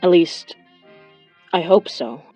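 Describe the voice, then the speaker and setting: A woman playing a weary, affectionate young monster hunter confiding haltingly over a phone call in an audio drama. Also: fairly low pitch, animated, dark tone, soft background music. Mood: grimly determined. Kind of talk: intimate audio drama monologue.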